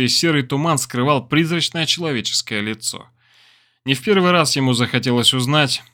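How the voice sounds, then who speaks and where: Male audiobook narrator, medium pitch, dramatic scripted delivery, deliberate, expressive storytelling.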